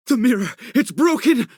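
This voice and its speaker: An actor playing a frantic, fanatical father in a video game, speaking in a halting, stammering, theatrical way. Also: very high-pitched, a little mellow, breathy edge, heavily acted. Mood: alarmed frustration. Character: frantic, fanatical father searching for his missing son. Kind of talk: dramatic video game voice acting.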